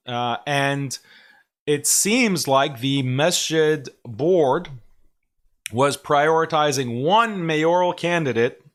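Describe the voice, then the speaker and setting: A man in his thirties, hoarse from a cold, hosting a casual livestream commentary show and talking to viewers with frequent pauses and religious phrases. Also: a little high. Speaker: host.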